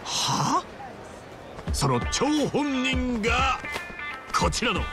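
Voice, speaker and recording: A man's voice: very high-pitched, big pitch swings, extremely slow, over music, full character voice. An actor playing a boisterous, big-hearted ex-yakuza man in a video game, theatrical and earnest. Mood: showy, grandiose. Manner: theatrical, earnest, rough-and-ready. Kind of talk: Japanese video game voice acting.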